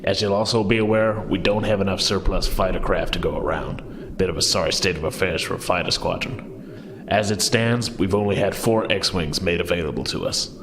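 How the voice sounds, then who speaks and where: A man voicing a brisk, matter-of-fact fighter squadron commander briefing pilots in a tabletop game. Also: deep voice, steady tone. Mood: brisk, businesslike. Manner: brisk, dry briefing delivery. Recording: hall reverb, crowd murmur behind.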